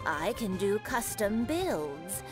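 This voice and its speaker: A woman voicing a kindly elderly granny in a video game, gentle and unhurried. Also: mid-range voice, big pitch swings. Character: kindly elderly granny who crafts kites. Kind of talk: video game character acting. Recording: loud game music behind the voice.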